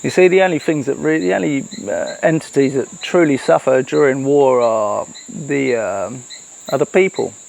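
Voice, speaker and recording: A person giving a casual, reflective monologue, speaking earnestly and haltingly with repeated words. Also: medium pitch, creaky, a little mellow. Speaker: host of a solo talk. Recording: noisy, with chirping insects behind.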